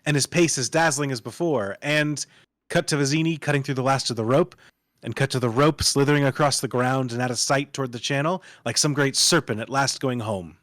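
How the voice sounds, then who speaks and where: Casting: male table-read narrator, medium pitch, delivering screen directions dramatically, close dry sound. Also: fairly quick, fairly bright tone, no echo. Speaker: narrator reading stage directions.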